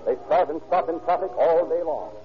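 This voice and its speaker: A man's voice: on the high side, animated, brisk, phone-quality sound. A man announcing and narrating in scripted 1930s radio style, formal, emphatic and declamatory. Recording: old radio recording with music.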